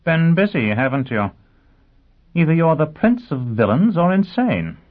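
A man voicing a brisk, skeptical, sharp-tongued lawyer in an audiobook, firing off clipped, incredulous questions. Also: fairly high pitch, big pitch swings, pitched above the performer's own voice. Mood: dryly probing. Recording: narrow phone-like sound.

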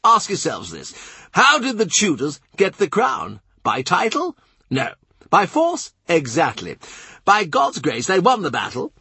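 Brief: a high, hectoring, excitable old lord, husky and loud in a radio-play style, dry close recording. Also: male voice, a little slow, no echo, heavily acted. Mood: agitated, hectoring. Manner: excitable, lecturing, emphatic. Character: blustering panicky nobleman, older man.

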